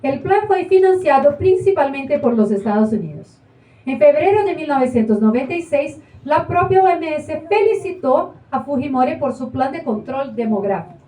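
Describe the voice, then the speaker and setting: Actress doing a high, pointed woman who keeps arguing back, heard from across an echoey theater. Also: lively intonation, normal speed, dark tone. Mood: lecturing. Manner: questioning, argumentative. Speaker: stage actor. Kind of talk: live theater performance. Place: small indoor theater. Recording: roomy, distant stage recording.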